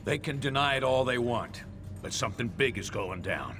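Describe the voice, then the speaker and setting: An actor playing a gruff older spymaster in a video game cutscene, talking in a folksy, wry, insistent way. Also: male voice, medium pitch, lively intonation, normal speed, fairly bright tone, soft background music, close to an everyday voice. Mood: grave.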